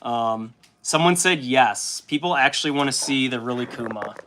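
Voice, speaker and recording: A young man hosting a casual livestream while painting miniatures, chatty and at times loud and impassioned. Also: mid-range voice, normal speed.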